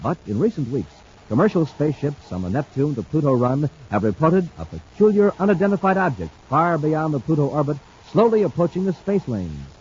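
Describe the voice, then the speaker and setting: Casting: punchy American male radio announcer, fairly high and lively, dull vintage recording. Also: slightly rough. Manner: punchy, theatrical, emphatic. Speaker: radio announcer and sponsor pitchman. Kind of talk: 1950s radio announcing, dramatic scripted narration. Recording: dull old radio recording, faint noise.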